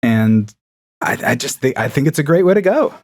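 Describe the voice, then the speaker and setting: A man's voice: mid-range voice, sing-song, normal speed, gravelly, no echo. A man chatting casually and enthusiastically as a podcast guest, recording from a home room with acoustic paneling. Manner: enthusiastic, chatty, with frequent fillers. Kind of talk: casual chat.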